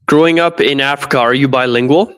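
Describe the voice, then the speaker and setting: A man from North America hosting a podcast interview, asking his guest steady strings of questions. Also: mid-range voice, a little fast, clean tone. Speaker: podcast host.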